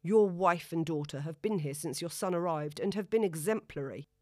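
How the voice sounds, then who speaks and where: Woman reading a calm, firm consultant, low, dry read. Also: normal speed, no room sound, close to an everyday voice. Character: calm, composed female senior consultant doctor, mid-forties.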